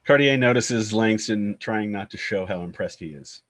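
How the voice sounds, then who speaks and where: A man's voice: lowish voice, moderate pace. A man reading stage directions as the narrator of a screenplay table read.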